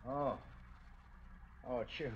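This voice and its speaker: A man's voice: mid-range voice, steady tone, slow, dull audio, lightly acted. A man acting a wary husband and father in a 1940s radio drama. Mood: drowsy, soothing. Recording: noisy old radio broadcast recording.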